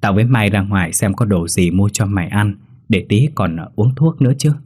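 A man's voice: lowish voice, a little fast, darkish tone, husky. A man voicing a blunt but caring young female student in a horror story, bossy and colloquial, fussing over her roommate. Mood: reassuring.

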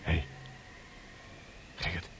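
A man playing a gruff sailor in a radio drama, talking low-key and intimately to a mate. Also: drawn-out words, a bit bright, slight background noise. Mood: subdued, conciliatory.

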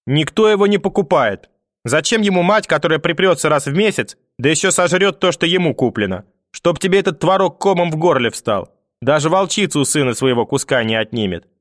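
A man's voice: a little high, expressive, fairly quick, dry recording, clean studio sound, voice pushed up, natural voice. Guy doing a nasty bossy old granny, cursing and sneering, voice pushed up, storybook style. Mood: bitter, sarcastic. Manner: scolding, sarcastic, venomous. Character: spiteful, domineering, cursing old Russian grandmother. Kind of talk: audiobook character acting.

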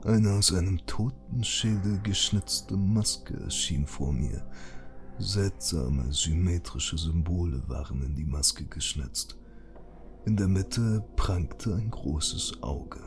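Fantasy audio drama vision sequence: man playing haunted dreamer, deep, unhurried, ominous narration. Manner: slow, ominous. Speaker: first-person narrator.

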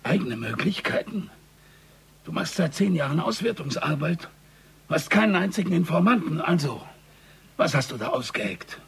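An actor playing a gruff, wary police superior in a radio crime drama, blunt and familiar as he probes. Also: a little high, big pitch swings, normal speed, a bit bright, heavily acted. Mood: probing, wry. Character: gruff male police superior, wary but trusting mentor. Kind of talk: German radio play acting.

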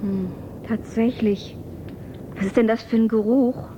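An actress playing a practical, unfazed, curious young woman of the future in a German radio drama, brisk and colloquial. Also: fairly high pitch, steady tone, lo-fi sound, noisy background, natural voice. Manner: brisk, colloquial, matter-of-fact.

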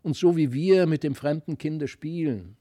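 A man's voice: on the high side, measured pace, dark tone, dry recording. Man voicing devoted, imploring young children. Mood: grieving, pleading. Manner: plaintive, repeating, imploring. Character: two distressed, devoted young children, pleading.

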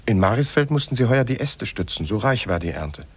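A man's voice: medium pitch, animated, fairly quick, breathy edge. A male actor playing a curious young painter visiting a strange village, observant and questioning, in a 1950s German radio drama. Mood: curious, puzzled. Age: young adult. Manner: inquisitive, observant.

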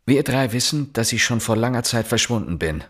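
An actor playing an intense, relentless police inspector in a German radio drama, pressing with insistent, halting questions. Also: male voice, medium pitch, expressive, noisy background, lightly acted. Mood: controlled, probing. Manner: insistent, with halting repeated words and pointed questions. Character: male police investigator interrogating, intense, relentless, exasperated.

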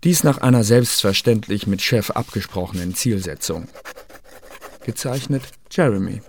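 An actor playing an intelligence agent in a radio play, delivering an official report in formal, clipped language. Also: male voice, on the low side, lively intonation, moderate pace. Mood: official, brisk. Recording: faint background noise.

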